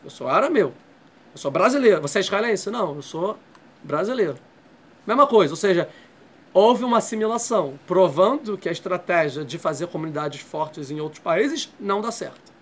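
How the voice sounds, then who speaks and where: Casual male teacher, high voice, keeps checking in with the class. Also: lively intonation, moderate pace, husky. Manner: explains step by step, checks in with "tá?". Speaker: Torah teacher. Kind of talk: classroom lecture.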